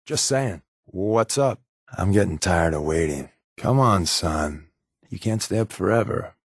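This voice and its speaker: A man's voice: sits low, expressive, a little slow, gravelly, husky, no room sound, studio quality, full character voice. A man playing a wisecracking, secretive undercover agent in a video game, with a wry, glib, conversational delivery. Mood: playful, wry. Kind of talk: video game companion dialogue.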